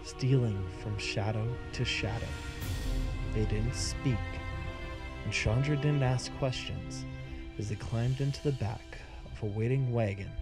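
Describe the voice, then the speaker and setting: A man who hosts the channel reading a fantasy story aloud in a measured, deliberate way. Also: mid-range voice, loud music behind the voice. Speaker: channel host and narrator. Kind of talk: scripted story narration.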